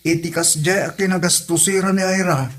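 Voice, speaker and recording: A man's voice: sits high, steady tone, a little fast, a little hiss or hum, lightly acted. A man acting an exasperated husband who grumbles about his family, speaking with an Ilocano accent in a comedy drama. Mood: grumbling.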